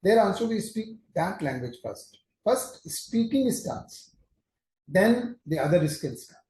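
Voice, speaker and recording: An older man with an Indian English accent, recalling his teaching days in a measured, explanatory way. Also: high-pitched, very animated, a little mellow. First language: Hindi or Urdu. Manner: measured, explanatory, with frequent restarts. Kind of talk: reflective teaching talk. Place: office, indoors. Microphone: camera on desk, mid-shot. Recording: echoey room with faint hiss.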